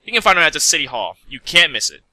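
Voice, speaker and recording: A man's voice: on the high side, very animated, fast, pushed and bright, dry recording, full character voice. A man voicing an elegant, haughty female pony seamstress in a prim, businesslike manner for a fan story reading. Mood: prim, brisk.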